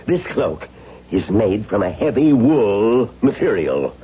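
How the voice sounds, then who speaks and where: An actor playing a fussy, anxious costume expert, precise and dramatic, in a 1970s radio drama. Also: male voice, lowish voice, sing-song, slow pace. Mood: anxious, insistent. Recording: muffled, noisy vintage radio broadcast with hum.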